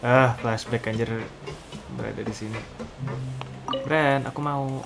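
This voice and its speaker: A man's voice: medium pitch, steady tone, unhurried. A man giving casual, slangy gameplay commentary. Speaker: gamer. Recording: gameplay commentary over clear game music. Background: game music.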